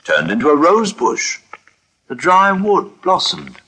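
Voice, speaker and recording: A man's voice: fairly high pitch, huge pitch swings, slow pace, bright, forceful tone, breathy edge, pitched above the performer's own voice, exaggerated voice. A man playing a timid, bewildered clerk who mutters hesitantly to himself in a vintage radio drama. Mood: pondering. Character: timid, bewildered male clerk testing his miraculous powers.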